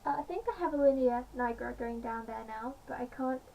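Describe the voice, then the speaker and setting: A woman with a slightly croaky voice, chatting casually in a home vlog with many pauses and fillers. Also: a little high, dark tone. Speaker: vlogger. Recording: distant mic, faint background noise.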